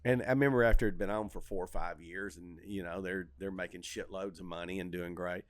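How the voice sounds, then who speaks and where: A middle-aged man chatting casually as a podcast host, telling stories in an animated, opinionated way and swearing freely. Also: low voice, fairly quick, dry recording. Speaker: comedy club owner and comedian, podcast host. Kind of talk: casual shop-talk chat.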